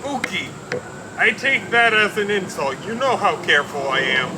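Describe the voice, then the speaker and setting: A man playing a formal, deadpan and touchy thug sidekick in an old-time radio detective play. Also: high-pitched, normal speed. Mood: prim, offended. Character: touchy, well-mannered male thug sidekick called Salvador. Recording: noisy live recording, steady room noise.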